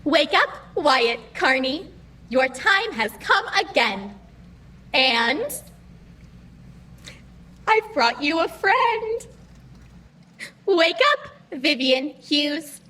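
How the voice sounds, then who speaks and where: An actress playing a chipper, officious computer host of a digital afterlife, speaking in formal, over-precise phrases in a scripted audio comedy. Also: female voice, high-pitched, sing-song, drawn-out words, pauses often, sharp tone, echoey room, distant mic, a little hiss or hum, exaggerated voice. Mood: cheerful, formal.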